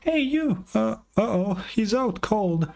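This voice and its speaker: Game casting: man as little female fairy sidekick, squeaky-high, excitable, talkative, quick to exclaim. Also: creaky, slight background noise, exaggerated voice. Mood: alarmed. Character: small chatty female fairy companion, excitable and suspicious. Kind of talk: game dialogue read in character.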